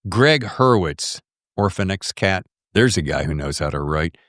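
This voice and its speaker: A man's voice: deep voice, animated, a little slow, fairly bright tone, dry recording, studio quality. A middle-aged American man hosting a podcast in a casual, slangy, effusive way. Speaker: podcast host. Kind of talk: casual podcast interview chat.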